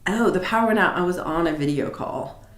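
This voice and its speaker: A woman hosting a casual livestream chat, rambling with many fillers and restarts, with a low voice. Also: fairly quick, roomy sound. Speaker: livestream host. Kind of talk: casual live chat reading comments.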